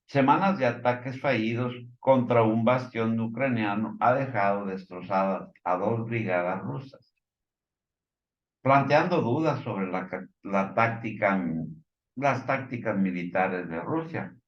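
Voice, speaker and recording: Slow-talking Mexican man in a roomy recording. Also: lowish voice, lo-fi sound. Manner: halting, hesitant delivery with filler words and stumbles. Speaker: commentator. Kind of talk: informal news commentary, partly reading reports.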